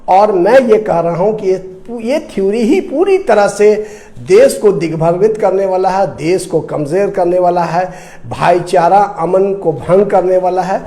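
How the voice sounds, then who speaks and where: High-pitched, emphatic Bihari man. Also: unhurried, dark tone. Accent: Indian, Bihar region. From India. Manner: emphatic, repeats phrases, rhetorical questions. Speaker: debate guest, commentator. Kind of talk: impassioned political commentary.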